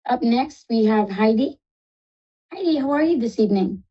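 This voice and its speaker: A woman with a New York accent hosting a live psychic call-in show, talking fast and reassuring callers affectionately. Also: a little high, animated, dark tone, slightly rough, dull audio. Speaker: psychic radio host.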